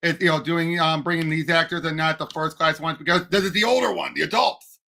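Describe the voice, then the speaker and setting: High, sharp, gravelly man debating as co-host. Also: fairly quick. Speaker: podcast co-host. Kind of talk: casual fan debate.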